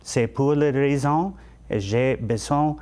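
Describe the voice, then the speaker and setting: Slow, halting man making a campaign pitch. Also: a little mellow. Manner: halting, repeats phrases for emphasis. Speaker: city councillor seeking re-election. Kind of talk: campaign speech.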